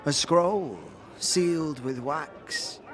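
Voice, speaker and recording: Slow, mysterious fortune-telling crone played by a man. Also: fairly high pitch, faint music behind. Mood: mysterious, earnest. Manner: mysterious, portentous, archaic phrasing. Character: cryptic, hunched female fortune teller in rich fabrics. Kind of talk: audio drama character acting.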